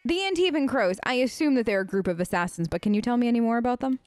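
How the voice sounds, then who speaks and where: Woman let's player, high voice, casual role-play chat, informal, dry close recording. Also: animated, fast talker, no room sound. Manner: chatty, informal, rambling replies. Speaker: let's play host role-playing her game character. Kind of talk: casual improvised in-character chat.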